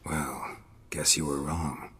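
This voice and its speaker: An actor playing a gruff, world-weary monster hunter in a video game, terse and deadpan with a gravelly voice. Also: male voice, very deep voice, takes it slowly, full character voice. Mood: serious. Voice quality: gravelly, husky. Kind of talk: dramatic video game voice acting. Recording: long hall reverb.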